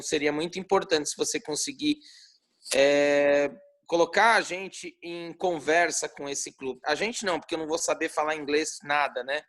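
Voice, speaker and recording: A Brazilian club member asks a guest a few questions during a meeting. His voice is a little high, and he speaks haltingly, often stopping and starting over. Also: fairly bright tone. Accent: Brazilian Portuguese. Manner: halting, slow with restarts. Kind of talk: casual meeting talk.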